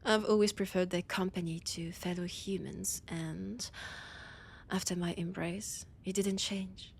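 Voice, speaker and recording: A woman with a French accent playing an ancient, proud, teasing vampire scholar in an audio roleplay, deliberate and often dropping into breathy whispers. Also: on the low side, steady tone, a little hiss or hum. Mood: fond, amused. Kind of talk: scripted roleplay audio drama monologue.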